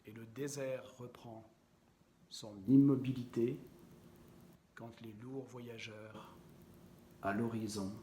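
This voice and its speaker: A man reciting poetry in a measured, deliberate and expressive way, with frequent pauses. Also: medium pitch.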